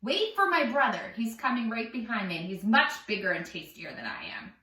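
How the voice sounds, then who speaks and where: A woman's voice: fairly high pitch, sing-song, a little fast, sharp tone. A woman voicing a small, innocent but crafty little billy goat who pleads in a children's story. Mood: innocent, coaxing. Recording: roomy echo, distant mic, music.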